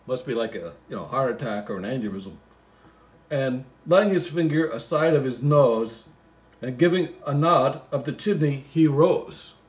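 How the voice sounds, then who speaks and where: A man's voice: medium pitch, lively intonation, normal speed, lightly acted. A man playing a goofy, baffled storybook reader who mixes poem recital with slangy, mock-indignant muttered asides. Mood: bemused. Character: Floyd, goofy, baffled, easily sidetracked male storybook reader. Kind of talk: comic poem reading with rambling asides.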